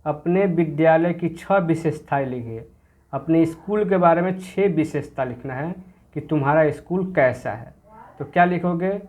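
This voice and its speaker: A man's voice: a little high, moderate pace, darkish tone. Man teaching grammar to young children. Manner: asks questions and answers them himself, repeating key words; mixes English words into the explanation. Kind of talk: explaining a grammar workbook to children.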